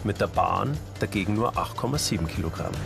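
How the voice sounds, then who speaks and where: Man reading doc narration, calm and factual, rough gravelly voice, music playing behind. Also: sits low, brisk. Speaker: documentary presenter. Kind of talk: scripted voice-over narration. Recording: voice-over with music bed.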